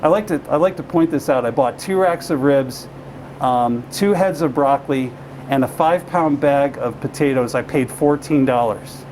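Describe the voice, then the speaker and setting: A man's voice: medium pitch, normal speed, a little mellow. Male TV host, mid-range voice, loose conversational questions, background hum. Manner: conversational, stumbling restarts. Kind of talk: casual on-location interview. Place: grocery store aisle.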